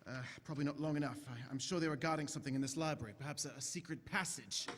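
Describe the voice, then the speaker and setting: A man playing an eccentric, inquisitive time-traveling doctor in a staged audio drama, chatty and brisk with theatrical swings. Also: a little high, natural voice. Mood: brisk, curious. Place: large convention hall stage. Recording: echoey hall stage recording with noise.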